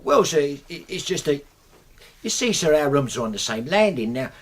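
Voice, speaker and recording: Husky, nervous young English manservant. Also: male voice, fairly high pitch, big pitch swings, moderate pace. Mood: flustered. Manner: hesitant, stammering, deferential. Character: nervous, humble young manservant, flustered and respectful. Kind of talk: British radio drama acting.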